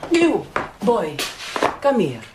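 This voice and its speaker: An actress playing a scheming, theatrical countess in a radio drama, languid, imperious and fatalistic. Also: female voice, mid-range voice, wildly swooping pitch, extremely slow, very noisy, full character voice. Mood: slyly coaxing.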